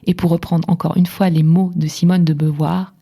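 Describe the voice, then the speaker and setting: A woman's voice: on the low side, flat delivery, moderate pace, warm tone, slightly rough. A young woman hosting an interview podcast, who talks hesitantly with many fillers and restarts. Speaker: podcast host and coach.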